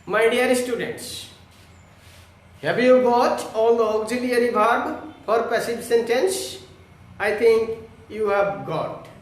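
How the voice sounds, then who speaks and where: A man teaches in a strikingly high voice, recorded in a heavily echoing room away from the microphone. He explains step by step like a classroom teacher, repeating himself deliberately. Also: animated, a little hiss or hum. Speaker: English grammar teacher. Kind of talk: classroom lesson addressed to students. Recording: echoey room, distant microphone.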